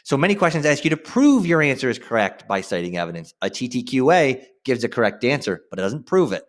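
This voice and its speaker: A male teacher giving an instructional screen-share lesson. His pitch moves in very lively swings as he stresses key phrases. He sounds informal yet directive, often checking in with his students. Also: quick pace.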